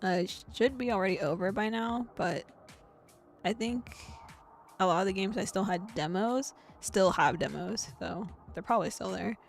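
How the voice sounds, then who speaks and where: A woman's voice: mid-range voice, moderate pace, gravelly, faint music behind. A young woman streamer in casual, rambling chat, giggling often and talking in a bubbly way into a desk microphone. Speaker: VTuber streamer.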